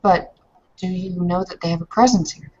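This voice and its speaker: A woman speaks in character during an improvised game. Her voice sits fairly low and she feels out each question with hesitation. The audio is slightly muffled and lo-fi. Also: normal speed, a little mellow. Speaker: player in an online roleplaying session. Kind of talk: in-character improvised roleplay talk.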